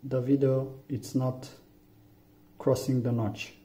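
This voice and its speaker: Slow, halting Romanian-accented man, deep mellow voice. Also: level tone. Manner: halting, many fillers, plain instructions. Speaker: tech tutorial maker. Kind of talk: hands-on phone tutorial. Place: room indoors, phone on a table. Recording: echoey, dull lo-fi room.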